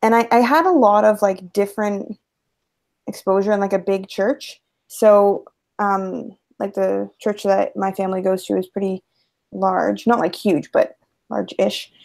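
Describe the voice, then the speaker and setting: A woman's voice: mid-range voice, fairly even intonation, measured pace, vocal fry, darkish tone. A young adult woman on a video call, chatting casually and peppering her speech with "like". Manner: casual, many fillers like "like". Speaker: panel guest. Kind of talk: casual panel discussion. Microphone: video call mic.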